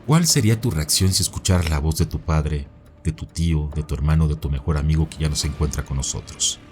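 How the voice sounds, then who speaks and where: Very deep male mystery narrator. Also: fairly quick, darkish tone, soft background music. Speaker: narrator and host of paranormal mystery videos. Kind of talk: scripted narration of eerie mystery stories.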